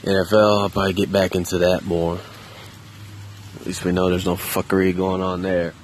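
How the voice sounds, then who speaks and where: A man with a very deep voice, speaking low and halting, as if worried someone might overhear. He rambles loosely and swears casually. He is recording outdoors, with faint traffic somewhere behind him. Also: monotone. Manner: quiet, subdued, hesitant with many restarts. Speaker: vlogger. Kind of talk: casual solo monologue. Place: outdoors in a park.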